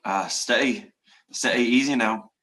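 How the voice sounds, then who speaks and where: A man voicing a male hunter who tries to catch a unicorn, speaking in a soft, coaxing, soothing way during a table read of a stage play. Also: mid-range voice, a little slow.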